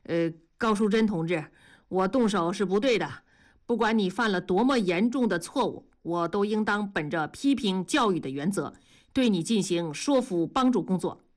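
A woman voicing a rough working-class husband who talks gruffly. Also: medium pitch, expressive, normal speed, lightly acted.

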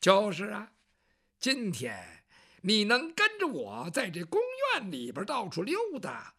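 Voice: medium pitch, fairly bright tone, heavily acted. A storyteller voicing a fussy, talkative older palace eunuch who nags and teases in a traditional storytelling performance. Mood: boastful. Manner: talkative, nagging, teasing.

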